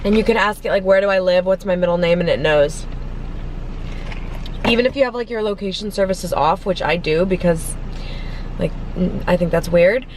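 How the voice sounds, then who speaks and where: A young woman chatting casually in a parked car, talking fast and filling her talk with "like". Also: fairly low pitch, a little hiss or hum, rough recording. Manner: chatty, filler-heavy, lots of "like". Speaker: vlogger. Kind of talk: casual car mukbang chat. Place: parked car at a drive-through.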